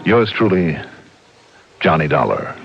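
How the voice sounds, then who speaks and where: A man's voice: deep voice, sing-song, measured pace, creaky, faint music behind, exaggerated voice. An actor playing a cool, wry investigator narrating his case in a 1960s radio detective drama. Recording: muffled old radio recording.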